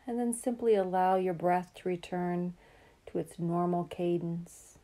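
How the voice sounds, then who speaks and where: A woman leads a guided relaxation in a low, very soft-edged and mellow voice. Her delivery is calm and soothing, with a very even, level melody. She takes her time and leaves room between phrases. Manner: calm, soothing, unhurried with long pauses. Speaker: meditation guide.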